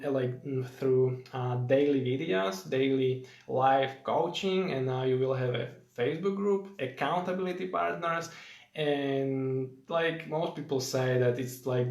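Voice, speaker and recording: A man's voice: mid-range voice, vocal fry, darkish tone. Slovak-accented man hosting a roomy live stream. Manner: rambling, many fillers, greets viewers.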